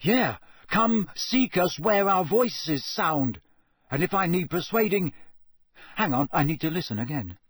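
A man's voice: high-pitched, lively intonation, measured pace, fairly bright tone, slightly rough, no echo, studio quality, voice pushed up, full character voice. A man voicing an embarrassed, indignant teenage boy wizard in a storybook reading, awkward and defensive. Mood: thinking.

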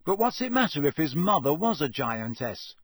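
A man's voice: fairly high pitch, very animated, moderate pace, fairly bright tone, dry recording, studio quality. A man voicing a stubborn, moody teenage boy hero in a storybook, curt and questioning. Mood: defiant.

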